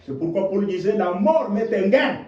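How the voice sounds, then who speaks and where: A high, very animated male voice, preaching with fire and swinging between hushed lines and shouted rhetorical questions. His tone is warm and mellow. The recording is dull and lo-fi, picked up from a distance in an echoey church hall. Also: moderate pace. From DR Congo. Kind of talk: sermon.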